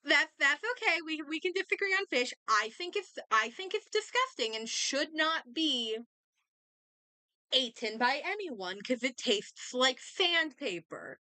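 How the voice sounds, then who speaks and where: A woman's voice: high-pitched, very animated, a little slow, sharp tone, no room sound. A woman livestreamer chatting casually as she games, reacting and thinking aloud, often doubling back on her words. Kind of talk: casual chat while gaming, reacting aloud.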